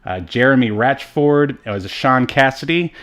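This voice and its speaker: A man's voice: medium pitch, expressive, normal speed, fairly bright tone. A man co-hosting a casual movie review podcast, rambling and hesitant with frequent asides. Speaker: podcast co-host.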